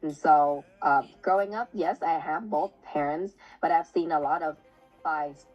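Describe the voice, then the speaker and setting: A woman with a low, deep-set voice leads a relaxed interview. She hesitates, repeats words and fills gaps with "uh" as she goes. The recording sounds somewhat dull and muffled. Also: measured pace, soft background music. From Vietnam. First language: Vietnamese. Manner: hesitant, many restarts and fillers. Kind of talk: casual conversational interview.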